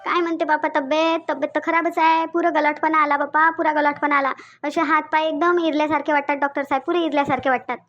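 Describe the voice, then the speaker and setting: A woman's voice: sits high, little pitch movement, fast, fairly bright tone, lo-fi sound, soft background music. A woman voicing a stubborn, complaining old village grandmother in a cartoon, with a rustic Varhadi Marathi accent and a repetitive, grumbling manner. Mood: complaining, pleading. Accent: Varhadi, Vidarbha Marathi. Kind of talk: cartoon dialogue.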